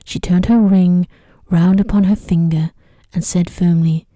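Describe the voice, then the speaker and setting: A woman narrating an ASMR sleep story in a hushed, gentle voice that drifts into whispers. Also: lowish voice, steady tone, measured pace, no echo, clean studio sound. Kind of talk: soft-spoken ASMR bedtime story reading.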